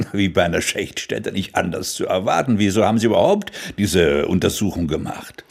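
A police investigator in a radio play, with a lively, swooping delivery. He interrogates with sardonic, pointed insinuation, and his voice has a gravelly fry. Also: sits low, moderate pace, full character voice. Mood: sardonic, probing. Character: probing, sardonic male police investigator questioning suspects. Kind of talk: German radio drama dialogue.

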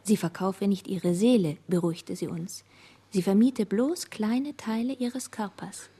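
A woman's voice: mid-range voice, unhurried, warm tone, breathy edge. A self-assured woman in a literary radio play. Her voice sits in the middle range with a strong husk. She relays speech as narration and stands on her dignity. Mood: matter-of-fact.

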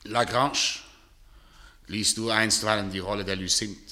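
Exasperated middle-aged playwright in a radio play, deep voice, really intense and bossy, stagey delivery. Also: male voice, steady tone, unhurried, sharp tone. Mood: resigned. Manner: commanding, declamatory. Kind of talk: theatrical radio drama acting.

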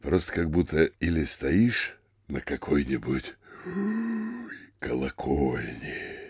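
An actor playing a blustering, frightened middle-aged provincial mayor in a classic comedy audio drama, grumbling and exclaiming theatrically. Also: male voice, slow pace, no echo, studio quality, heavily acted. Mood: worried musing. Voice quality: gravelly, husky bass.

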